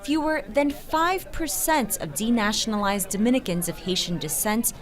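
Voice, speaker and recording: A woman's voice: fairly high pitch, normal speed. A woman reading scripted news documentary narration in the manner of a reporter.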